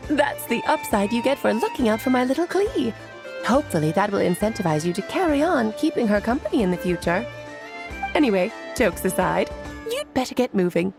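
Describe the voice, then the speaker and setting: Playful motherly sorceress, lively swooping intonation, highish pitch, theatrical, teasing, breezy, video game dialogue. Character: playful, self-assured great mage woman, motherly and teasing.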